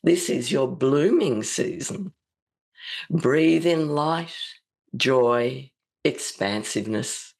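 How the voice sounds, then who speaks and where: A woman with a slightly croaky voice hosting a psychic radio show and leading a slow, soothing guided meditation. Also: very deep voice, lively intonation. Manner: slow, soothing, gently spiritual.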